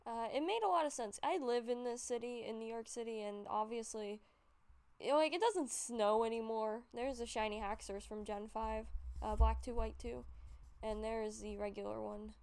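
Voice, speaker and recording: A woman's voice: fairly high pitch, moderate pace, darkish tone, clear, resonant tone. A woman with a stuffy nose giving rambling, casual commentary over gameplay, with frequent fillers and self-corrections. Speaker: YouTuber showing a game collection.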